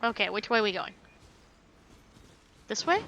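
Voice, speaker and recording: A woman's voice: mid-range voice, very animated, normal speed, sharp tone, highs cut off, slight background noise. A woman livestreaming a game with casual commentary, reading dialogue aloud and muttering to herself. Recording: faint game music behind the voice. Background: game music.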